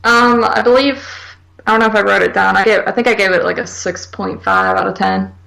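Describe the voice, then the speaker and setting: A woman with a noticeably creaky, medium-pitched voice chats as a podcast co-host. She is agreeable and informal, talking through films casually and peppering her sentences with "like". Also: steady tone, brisk, pushed and bright, rough recording. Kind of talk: casual conversational film discussion.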